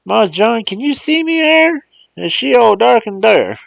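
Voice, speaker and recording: A man's voice: very high-pitched, very animated, a little slow, gravelly, no room sound, voice pushed up, full character voice. Uncle Remus, a man doing an old rambling servant. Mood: astonished. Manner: drawling dialect speech, wondering. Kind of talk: audiobook character voice.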